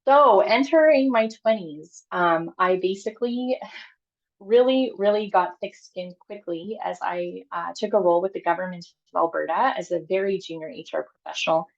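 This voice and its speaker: A woman from Canada in her thirties talks through her own story on a call, candid and chatty, full of fillers and restarts. Her voice sits low with a gravelly fry. The audio is dull and lo-fi. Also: normal speed. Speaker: guest speaker. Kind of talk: personal talk on a video call.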